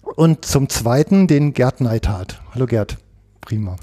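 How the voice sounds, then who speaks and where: A man's voice: mid-range voice, lively intonation, measured pace, mellow. A man hosting a hunting podcast, talking casually with many hesitations and fillers. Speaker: podcast host.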